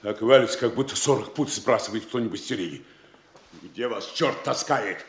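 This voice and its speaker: Актёр в театральной манере радиоспектакля играет немолодого провинциального городничего, который то заискивает, то командует, хрипловатым голосом. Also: male voice, on the low side, normal speed, slightly rough. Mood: commanding, gruff. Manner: theatrical, shifting from ingratiating to commanding. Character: blustering, wheedling middle-aged town mayor, provincial Russian official. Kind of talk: theatrical radio-play acting of classic comedy.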